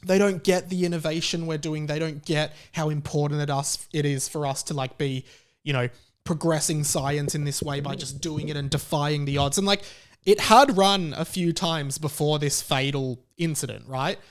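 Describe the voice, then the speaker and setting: A man's voice: on the high side, moderate pace. A man hosting a podcast, giving casual opinion commentary in a conversational, rambling and emphatic way. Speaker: podcast host.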